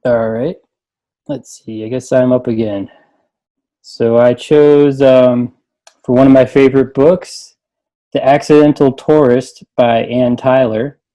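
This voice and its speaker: A man's voice: medium pitch, fairly even intonation, slow pace, warm tone, low-quality recording. A man chatting casually on a book panel and reading short written reviews aloud.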